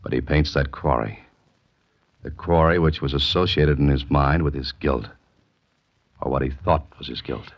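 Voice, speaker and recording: Determined investigator, very deep voice, terse hardboiled delivery, 1940s radio drama, muffled old recording. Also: male voice, normal speed, long pauses. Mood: explaining. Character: deep-voiced, determined male amateur investigator narrating his case. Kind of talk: 1940s radio mystery acting and narration. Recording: dull vintage radio recording.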